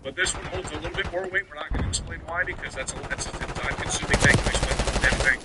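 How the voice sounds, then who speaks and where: A man's voice: fairly high pitch, fast talker, very noisy. A man hosting a gaming stream, delivering an unscripted, emphatic and repetitive rant in an exasperated way. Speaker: live streamer and gaming channel host. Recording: loud game gunfire over voice. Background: shooter game gunfire and announcer.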